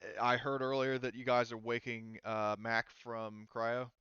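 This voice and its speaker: A man running a tabletop game as game master, improvising narration in a halting, casual way. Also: medium pitch, little pitch movement, bright, forceful tone, muffled recording, a little hiss or hum.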